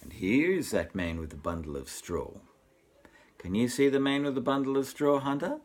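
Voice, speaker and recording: An older man reading a children's story as a grandfather, expressive and talking directly to young children. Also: medium pitch, normal speed.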